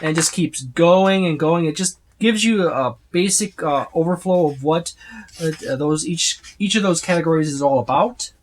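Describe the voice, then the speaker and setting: A tabletop game reviewer giving a casual, unscripted overview, speaking haltingly with repeated words and trailing lists. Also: medium pitch, a little hiss or hum. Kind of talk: casual unscripted book flip-through overview.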